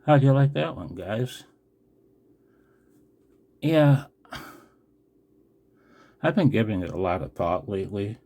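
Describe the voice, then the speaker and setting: An older man from the United States in a casual, unscripted vlog as a radio operator, blunt and wry with a gravelly, husky voice. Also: fairly low pitch, slow pace, long pauses, dark tone. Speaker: amateur radio operator and video host. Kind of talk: casual, unscripted video commentary.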